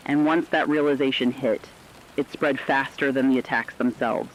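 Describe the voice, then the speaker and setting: A man reading scripted horror narration in the style of an emergency radio chronicle, solemn and deliberate. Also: medium pitch, steady tone, slight background noise, rough recording.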